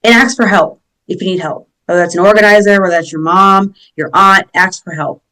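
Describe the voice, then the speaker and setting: A woman's voice: on the low side, steady tone, fairly quick, bright, forceful tone, poor audio. A woman who is a professional organizer, giving earnest, encouraging advice in a casual podcast chat and repeating her points.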